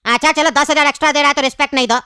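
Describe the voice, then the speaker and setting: A woman's voice: sits high, steady tone, racing, sharp tone, slight background noise. A woman voicing a cocky, self-assured cartoon hustler with a teasing, boastful manner. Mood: teasing, haggling. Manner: bantering, boastful. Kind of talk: comedy cartoon voice acting.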